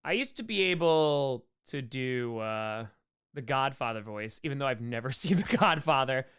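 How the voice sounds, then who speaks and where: A man's voice: medium pitch, expressive, moderate pace, a bit bright, clear, resonant tone. A man hosting a casual livestream Q&A, joking and repeating short phrases as he goes. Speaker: streamer hosting a live Q&A.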